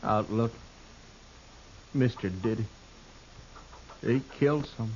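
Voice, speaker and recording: A young man as acted by a radio player, gruff and strained with pain. He speaks in old drama style on a muffled recording with faint hiss. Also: medium pitch, slow, close to an everyday voice. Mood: pained, worried. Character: wounded, worried farmer's son, gruff older brother. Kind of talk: 1950s radio western acting.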